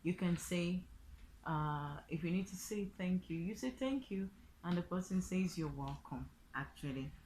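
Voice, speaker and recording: A woman with a low voice and a Nigerian accent teaches in an informal tutorial style. She explains things step by step, often repeating a phrase as an example. There is faint background noise on the recording. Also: lively intonation, a little slow, darkish tone. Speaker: online language teacher and vlogger.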